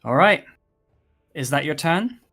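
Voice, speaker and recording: A man's voice: a little high, animated, measured pace, sharp tone, soft background music. Casual male game master. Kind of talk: casual tabletop game narration and chat.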